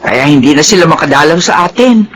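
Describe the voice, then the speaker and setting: A woman's voice: sits low, animated, a little fast, a little hiss or hum. An actress playing a concerned older mother in a Filipino radio drama, with a Filipino accent. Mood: concerned, eager. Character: concerned older mother eager to visit her son.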